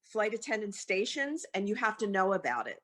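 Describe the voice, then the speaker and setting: A woman's voice: mid-range voice, big pitch swings, a little fast, a bit bright. A woman from the audience giving short, dry answers about a flight over a video call. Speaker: audience member.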